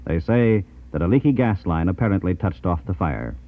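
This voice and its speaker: American 1950s radio announcer, tinny band-limited sound. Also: male voice, low-pitched, lively intonation. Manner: measured, formal scripted delivery. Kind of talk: 1950s radio news and ad reading. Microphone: dry radio studio microphone.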